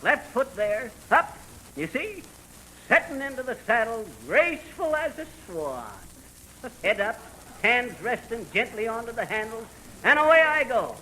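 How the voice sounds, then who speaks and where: A man's voice: extremely high pitch, sing-song, measured pace, bright, forceful tone, exaggerated voice. An actor playing a boastful, bumbling middle-aged man in a 1940s radio comedy, folksy and slangy. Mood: boastful, cocky. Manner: folksy slangy bragging with chuckles. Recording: noisy vintage 1940s radio recording.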